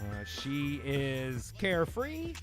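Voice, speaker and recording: A man casually riffing as host of a comedy show, animated and salty-tongued as he pitches to fellow performers. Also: slow, music in the background. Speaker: host of an improvised table-read show.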